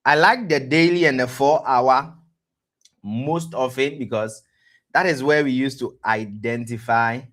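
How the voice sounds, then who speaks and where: A man with a West African accent hosting a live-streamed trading lesson, pausing to ask "what?" and answering himself, often repeating words as he goes. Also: mid-range voice, fairly bright tone. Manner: quizzes listeners with rhetorical "what?" prompts; repeats words and restarts phrases. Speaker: trading educator, stream host.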